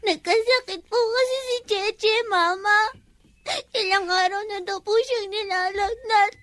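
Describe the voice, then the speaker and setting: A woman's voice: extremely high pitch, steady tone, unhurried, no room sound. A woman voicing a polite, timid young girl, hesitant and deferential, in Filipino drama acting. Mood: tearful, upset.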